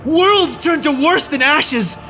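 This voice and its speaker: A man playing an enraged human soldier, tied up and hurling a breathless, shouted tirade of hatred in an audiobook. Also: high voice, steady tone, unhurried, vocal fry, slight background noise, pitched above the performer's own voice, full character voice. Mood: raging. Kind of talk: audiobook reading.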